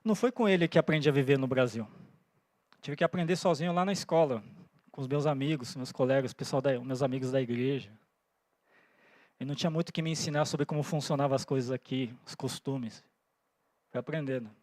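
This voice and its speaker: A pastor in his forties, born in Japan and raised in Brazil, preaching in an earnest way that pauses often for "né?" and thinks aloud. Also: medium pitch, a little mellow, dry recording. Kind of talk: sermon. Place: church.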